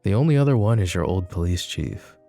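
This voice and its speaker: A man playing a flirty, possessive mafia boss in an intimate roleplay, speaking sardonically and softly with slow, deliberate pauses. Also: low voice, very animated, creaky, soft background music, full character voice. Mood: wry, musing. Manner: sardonic, soft-spoken, slow pauses, swearing and sighs. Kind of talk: intimate ASMR roleplay spoken to the listener.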